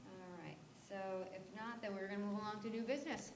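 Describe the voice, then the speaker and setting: Woman, board chair, brisk procedural delivery, roomy hall sound with noticeable room noise. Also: medium pitch. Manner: brisk, procedural, a little informal. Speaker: board chair running the meeting. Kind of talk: formal meeting procedure. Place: public meeting room.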